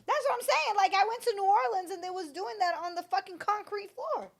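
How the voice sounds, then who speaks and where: A young woman co-hosting a podcast in casual chat. She was born in Florida, grew up partly speaking Portuguese, and talks bluntly with lots of profanity and animated storytelling. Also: very high-pitched, a little fast, creaky. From born in Florida, raised partly in Brazil. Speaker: podcast co-host.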